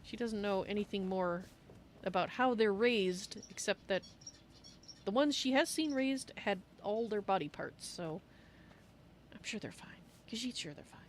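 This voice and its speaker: A woman's voice: medium pitch, lively intonation, measured pace, a little hiss or hum, close to an everyday voice. A woman voicing a polite, hesitant cat-folk adventurer from a fantasy game, with a wry manner. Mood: doubtful. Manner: speaks of herself in third person, hesitant, wry.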